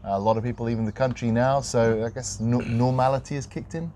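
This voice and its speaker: A man co-hosting a real estate podcast, talking in a casual, conversational and slightly hesitant, measured way. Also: medium pitch, steady tone, dark tone, slight background noise. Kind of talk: casual unscripted podcast chat.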